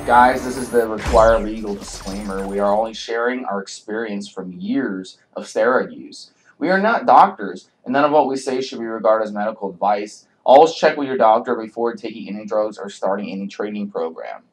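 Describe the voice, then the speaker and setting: Low-voiced man reading a disclaimer. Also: moderate pace, a little hiss or hum, rough recording.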